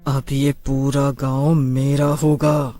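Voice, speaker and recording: A performer voicing a vengeful female witch spirit in a horror story, menacing and drawn-out. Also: mid-range voice, level tone, dark tone, faint music behind, close to an everyday voice. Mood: menacing, gloating.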